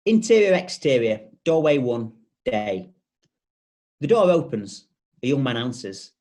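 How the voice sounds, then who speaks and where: A man's voice: mid-range voice, very animated, a little slow, dark tone. A man reading stage directions as scripted narration.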